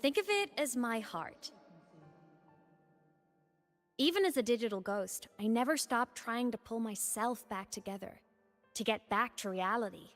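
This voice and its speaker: A woman's voice: fairly high pitch, animated, measured pace, pauses often, faint music behind. A woman voicing a playful, teasing digital ghost girl in a video game, breezy and casual but determined. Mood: wistful, breezy. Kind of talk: video game story voice acting.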